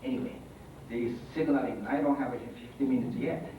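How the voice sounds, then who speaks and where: A man giving a conference talk as a Buddhist priest, halting and full of fillers. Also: mid-range voice, lo-fi sound. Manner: halting, many fillers, non-native phrasing. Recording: echoey hall from far off, noisy.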